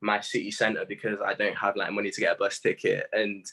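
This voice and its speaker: A man's voice: on the low side, level tone, a little fast, sharp tone, breathy edge. A British man in his late teens speaking as a musician in a casual interview, candid and self-reflective, with plenty of slang.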